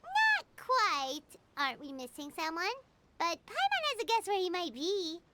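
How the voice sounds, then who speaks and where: A woman voicing a tiny, excitable childlike fairy companion in a video game, squeaky and exclamatory. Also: moderate pace, heavy background noise, full character voice. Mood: cheerful, chatty. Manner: speaks of self in third person, exclamatory. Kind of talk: video game cutscene acting.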